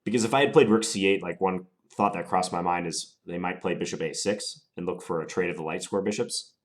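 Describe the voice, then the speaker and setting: A man with a very low voice, stuffed up from a cold, talks himself through his chess moves. He sounds deliberate and musing, weighing each option out loud. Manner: deliberate, musing, unhurried analysis. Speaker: chess player commentating his own game. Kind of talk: thinking aloud while playing.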